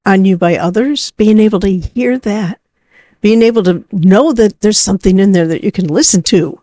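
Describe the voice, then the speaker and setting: A woman co-hosting a podcast in a reflective, conversational style, building her points deliberately in repeated phrases. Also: medium pitch, mellow, dry recording, clean studio sound. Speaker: podcast co-host.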